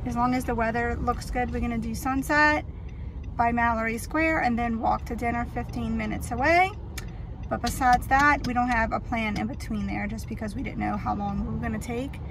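A woman's voice: a little high, fairly even intonation, normal speed, noisy background. A woman in her thirties casually chatting to her phone camera from inside a car, narrating her travel plans in an upbeat way. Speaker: travel vlogger. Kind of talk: casual travel vlog chat. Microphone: phone held at arm's length. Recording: loud music over the voice.

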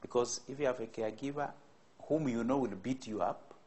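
A middle-aged man answering interview questions in an office, deliberate and pausing with fillers. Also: medium pitch, warm tone, slight background noise. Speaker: expert interviewee. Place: office indoors.

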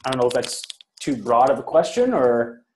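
A man's voice: mid-range voice, big pitch swings, brisk, reverberant, slight background noise. A man from Toronto with a Canadian accent, asking hesitant, filler-heavy questions about hockey training on a video call. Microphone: webcam on a video call.

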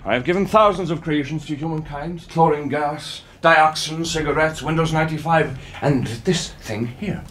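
An actor playing a smug, cryptic old scientist-wizard in a comic audio drama, pompous and pedantically precise. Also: male voice, a little high, normal speed, hardly any pauses, fairly bright tone, a little hiss or hum, lightly acted. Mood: gleeful, boastful. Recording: music bed behind voice.

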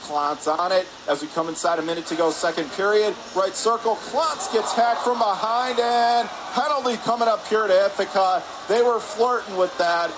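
A man doing live radio play-by-play hockey commentary in rapid, descriptive calls. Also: high-pitched. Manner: rapid descriptive calls, excited on scoring chances. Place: press area above rink in an indoor arena. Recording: noisy ice arena, steady background din.

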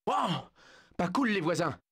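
A lonely, remorseful lion. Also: male voice, sits high, big pitch swings, unhurried, gravelly, very noisy, heavily acted. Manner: plaintive, lonely. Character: lonely remorseful male lion, lost in the wild.

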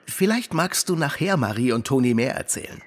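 Male actor as friendly adult mentor in kids' radio play; husky, animated, encouraging. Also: mid-range voice, a little fast, close to an everyday voice. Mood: friendly, storytelling. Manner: calm, encouraging, lively storytelling. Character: Mike, friendly protective grown-up male storyteller. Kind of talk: children's audio drama acting.

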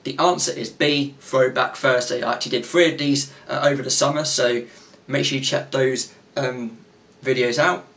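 A man's voice: medium pitch, steady tone, moderate pace, creaky, a bit bright. A twenty-one-year-old man hosting a quiz from his bedroom, rambling with many fillers and restarts, his voice wearing out. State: voice getting tired. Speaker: channel host running a quiz. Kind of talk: casual quiz hosting to camera. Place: small bedroom with gaming desk, indoors.

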